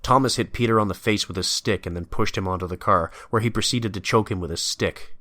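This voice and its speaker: A man's voice: on the low side, brisk, bright, forceful tone, no echo, studio quality. A man narrating a scripted audio drama.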